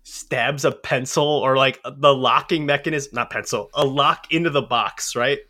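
A man's voice: medium pitch, fairly even intonation, normal speed, bright, forceful tone. A man hosting a podcast, talking casually in loose back-and-forth banter. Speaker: podcast host.

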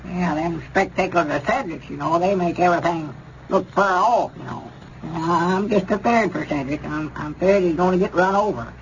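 An actor playing a gullible old country storekeeper with a rural Southern American accent, rambling and repeating himself in 1930s radio comedy style. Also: male voice, sits high, normal speed. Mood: earnest, chatty. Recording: dull, noisy 1930s radio recording.

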